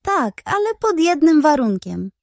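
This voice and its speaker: A man's voice: very high-pitched, very animated, measured pace, creaky, no echo, studio quality, voice pushed up, heavily acted. A woman voicing a stern but kind-hearted male pig detective in a children's bedtime story. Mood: relenting. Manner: stern, huffing.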